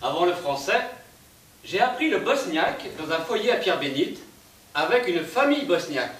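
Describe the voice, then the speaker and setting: A man performer giving an expressive, declamatory theatrical recitation on a stage. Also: high-pitched, normal speed. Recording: echoey stage, distant mic, faint hiss.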